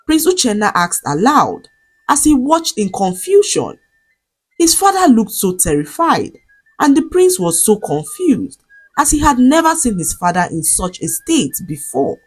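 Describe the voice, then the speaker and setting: A woman with a Nigerian accent narrating a scripted folktale in a dramatic, expressive way. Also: a little high, a little slow, husky, soft background music, low-quality recording. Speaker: storyteller.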